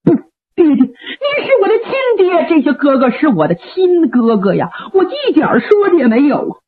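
A woman's voice: big pitch swings, measured pace. A woman voicing a young male warrior who pleads with his father through tears, heard through a tinny radio recording. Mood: pleading. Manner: weeping, pleading, high-strung.

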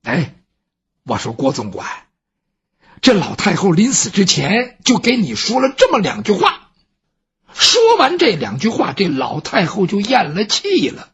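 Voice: medium pitch, huge pitch swings, husky, dull audio, full character voice. 一位说书人扮演一个絮絮叨叨劝慰上司的宫中随从。. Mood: explaining. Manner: brisk, coaxing, plain-spoken. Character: palace servant consoling his superior, chatty.

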